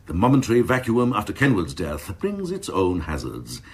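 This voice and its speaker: Deep, rough-voiced sardonic police chief. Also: male voice, huge pitch swings, normal speed, exaggerated voice. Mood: mocking, grandiose. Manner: mocking, ornate, theatrical phrasing. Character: sardonic, domineering male assistant chief constable, cynical. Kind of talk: British crime radio drama acting.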